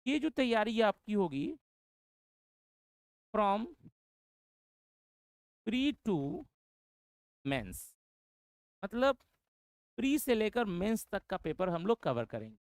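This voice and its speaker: A man's voice: high-pitched, sing-song, slow pace, pauses often, clear, resonant tone, no echo, studio quality. A man introducing an English course to exam students, repeating his points and often calling them brother. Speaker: English teacher.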